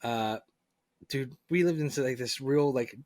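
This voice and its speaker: A man's voice: mid-range voice, measured pace, darkish tone, dry recording, clean studio sound. A man who is a comedian, guesting on a podcast and telling stories in an easygoing way, saying dude a lot. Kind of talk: casual chat.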